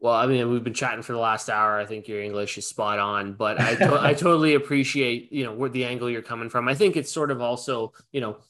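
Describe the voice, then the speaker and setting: A man's voice: mid-range voice, a little fast, hardly any pauses, a bit bright. Easygoing man chatting casually. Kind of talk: casual conversation.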